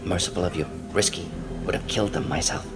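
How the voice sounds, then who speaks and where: An actor playing a brisk, clinical, aging alien scientist in a video game, speaking in clipped telegraphic phrases with dropped words. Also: male voice, low voice, steady tone, gravelly. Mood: matter-of-fact. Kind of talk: video game character dialogue. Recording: long hall reverb, game music behind. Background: game soundtrack music.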